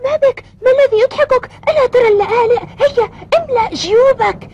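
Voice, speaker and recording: A woman playing an excitable, greedy young boy in an Arabic cartoon dub, with an eager, exclamatory delivery. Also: extremely high pitch, quick pace. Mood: excited. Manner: exclamatory, eager, breathless rush. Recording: dull lo-fi audio, noisy background.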